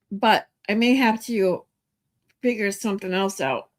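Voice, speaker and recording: A woman in her forties hosts a laid-back livestream chat, her voice a bit stuffed up from congestion. She rambles along with lots of ums and false starts, her pitch sitting in an ordinary middle range. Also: a little mellow. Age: 40s. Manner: rambling, many ums and restarts. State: stuffy nose. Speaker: livestream host. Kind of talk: casual chat with viewers.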